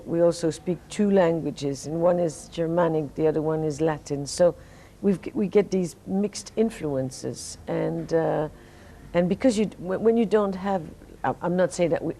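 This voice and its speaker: A Belgian woman whose first language is French, giving relaxed, halting answers in an interview while sleepy and fighting off jet lag. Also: sits low. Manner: relaxed, halting, many restarts and fillers. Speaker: singer, interview guest.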